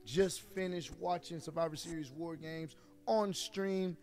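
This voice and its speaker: A hoarse man reviews a wrestling show with hyped, slangy energy, his voice worn out and a little high-pitched. Faint music plays under the played-back video. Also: fairly even intonation, measured pace, a little mellow.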